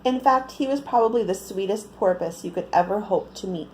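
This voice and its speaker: A woman reading a picture book aloud to young children, expressive and often putting questions to her listeners. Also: medium pitch, fairly quick, slight background noise, poor audio. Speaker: children's storyteller.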